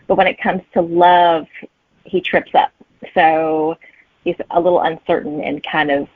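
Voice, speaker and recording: A woman's voice: lowish voice, dry recording, narrow, tinny audio. A woman chatting casually as an author being interviewed, heard over a thin remote connection.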